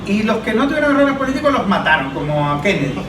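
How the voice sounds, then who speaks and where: A man speaking as an analyst in a political roundtable, making his argument deliberately through listed examples. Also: sits high, sing-song. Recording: echoey, distant mic, background noise.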